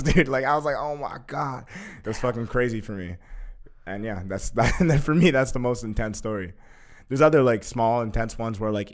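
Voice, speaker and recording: A young man telling a story in a casual chat, animated, full of "like" fillers and swearing. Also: fairly low pitch. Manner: animated, heavy use of filler "like", swears freely. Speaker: guest.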